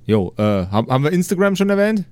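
A man's voice: fairly high pitch, sing-song, fast talker, darkish tone, clear, resonant tone, no echo. A man co-hosting a podcast in casual, jokey banter, slipping English phrases into his talk. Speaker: podcast co-host.

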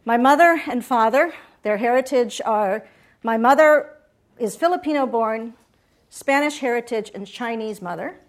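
A woman's voice: fairly high pitch, normal speed. A middle-aged woman from Puerto Rico giving a chatty guest talk to students, often checking in with the audience. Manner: chatty, asks audience questions. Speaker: guest speaker. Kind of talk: lively campus talk.

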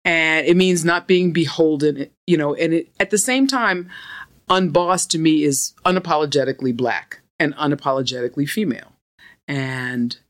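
A middle-aged woman from New with a deep, soft, soothing voice, speaking as a podcast guest in a calm, self-assured way. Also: moderate pace. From United States. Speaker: podcast guest, law firm partner. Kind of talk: casual interview conversation.

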